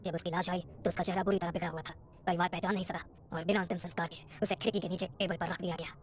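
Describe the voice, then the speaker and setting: A woman voicing a solemn, authoritative older male hospital dean who explains gravely in an animated horror story. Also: on the low side, quick pace, a little hiss or hum, voice pushed up, close to an everyday voice.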